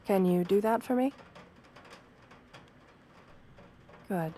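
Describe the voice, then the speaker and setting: A woman plays a kind wolf-girl therapist in an audio roleplay. Her voice is soft and mid-pitched, with a measured, soothing delivery. She sounds professional and reassuring, guiding a patient gently. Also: a little hiss or hum, natural voice. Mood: calm, guiding. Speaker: therapist character speaking to a patient. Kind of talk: ASMR roleplay, guided affirmations.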